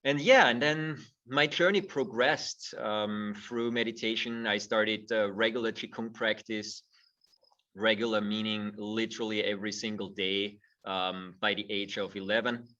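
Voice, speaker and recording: Guest, middle-aged man, low voice, thoughtful, distant muffled mic. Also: steady tone, a little slow, pushed and bright. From Austria. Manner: thoughtful, repeats words when starting phrases. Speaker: guest, movement teacher. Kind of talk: conversational interview.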